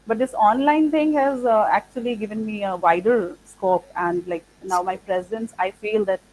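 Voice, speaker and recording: A woman whose first language is Punjabi, from India, answering interview questions as an institute founder. She speaks thoughtfully and fills her pauses with "like" and "uh". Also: mid-range voice, darkish tone, a little hiss or hum, rough recording. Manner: thoughtful, many "like" and "uh" fillers. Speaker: founder of an English training institute.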